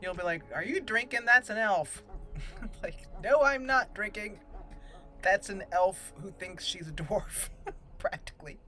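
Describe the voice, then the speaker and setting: A woman's voice: mid-range voice, lively intonation, unhurried. Chatty woman narrating her gameplay. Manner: chatty, thinking aloud, laughs often. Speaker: gaming channel host. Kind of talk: casual let's-play commentary. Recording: voice-over over loud game music. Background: game music.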